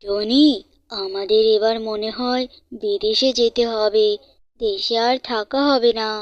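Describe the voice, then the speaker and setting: Woman as a high-pitched stern father bird. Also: fairly even intonation, unhurried, no echo, dull audio, natural voice. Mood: grave. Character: stern, controlling middle-aged father bird. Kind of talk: cartoon fairytale dialogue.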